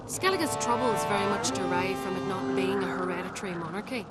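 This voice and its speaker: A woman's voice: mid-range voice, a little fast, over loud music, lightly acted. An actress playing a regal, ambitious queen in a fantasy game, speaking in a formal, imperious manner. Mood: calculating. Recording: loud background ambience noise.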